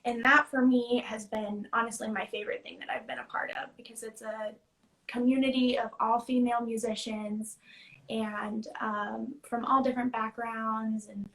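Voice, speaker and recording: A woman's voice: a little high, level tone, normal speed, fairly bright tone, low-quality recording. Chatty young woman on a video call. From United States. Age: 20s. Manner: chatty, many fillers like "you know" and "like". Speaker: songwriter guest. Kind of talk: casual interview chat. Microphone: video call on phone.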